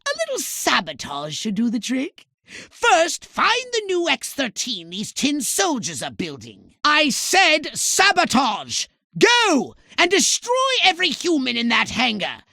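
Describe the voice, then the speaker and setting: A very high, bright-voiced alien commander, scheming and imperious. His pitch swings wildly as he hands out pompous, sarcastic orders in theatrical video game style, recorded very dry. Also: huge pitch swings, measured pace, gravelly, no echo, exaggerated voice. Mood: gleefully sinister, then urgent, commanding. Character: shrill, bossy, scheming alien commander giving mission orders.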